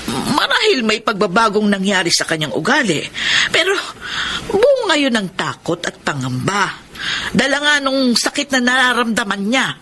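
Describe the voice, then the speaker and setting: A woman's voice: on the high side, wildly swooping pitch, normal speed, sharp tone, a little hiss or hum, heavily acted. An actress playing a concerned, reproachful mother counselling her grown daughter, solemn and admonishing in a Tagalog radio drama. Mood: solemn pleading.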